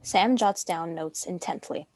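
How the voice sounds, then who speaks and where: A woman's voice: fairly low pitch, unhurried. A woman reading stage directions as scripted narration for a dramatic script reading.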